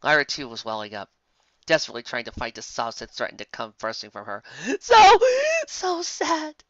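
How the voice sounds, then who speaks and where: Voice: medium pitch, fast talker, bright, forceful tone, no room sound, dull audio. A narrator reading fan fiction aloud in a dramatic, emotive way. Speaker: story narrator.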